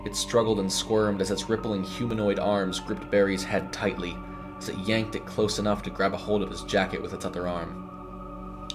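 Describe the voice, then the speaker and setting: A man's voice: lowish voice, level tone, moderate pace. A man reading a scripted horror story as narrator, in a dramatic storytelling style. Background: music.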